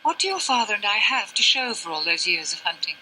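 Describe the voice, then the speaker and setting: A woman playing a sharp-tongued, weary, dying older mother in a video game, with haughty, theatrical, genteel phrasing. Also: medium pitch, big pitch swings, a little fast, slight background noise, poor audio. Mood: regretful. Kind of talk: dramatic scripted game dialogue.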